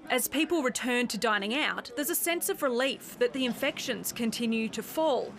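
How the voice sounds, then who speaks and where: A woman's voice: a little high, animated, moderate pace, creaky, a bit bright, slight background noise. An Australian woman reporter narrating a scripted television news story.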